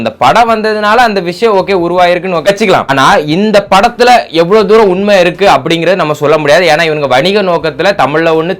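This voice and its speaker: A man's voice: fairly high pitch, fairly bright tone. A man giving opinionated reaction commentary, argumentative, full of rhetorical questions and mixing in English words. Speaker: YouTube political commentator.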